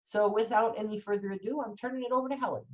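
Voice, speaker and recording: A woman's voice: medium pitch, steady tone, very fast, highs cut off. A woman hosting a live online talk, upbeat and chatty, with some fillers. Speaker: host. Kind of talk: live-stream introduction.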